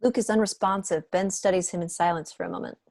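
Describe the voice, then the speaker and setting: A woman's voice: on the low side, fairly even intonation, quick pace, gravelly, a little mellow. A woman reading stage directions as scripted narration at an amateur online table read. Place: home room, on a video call.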